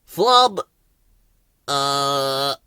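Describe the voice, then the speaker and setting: A man's voice: mid-range voice, animated, very slow, bright, forceful tone, smooth, dry recording, clean studio sound, exaggerated voice. A man voicing a childlike, simple little flower pot man from a children's storybook, speaking in babbling gibberish. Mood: mumbling.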